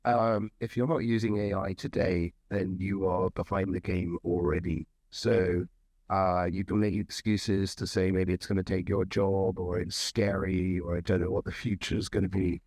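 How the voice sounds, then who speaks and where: A man's voice: deep voice, flat delivery, normal speed, darkish tone, dry recording. A man speaking as a panelist who urges the audience in a persuasive way. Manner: urging, persuasive.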